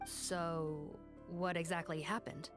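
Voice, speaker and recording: A woman playing a sharp, matter-of-fact investigator in a fantasy video game, with a crisp manner. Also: fairly low pitch, flat delivery, slow. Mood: skeptical. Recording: background music under the voice.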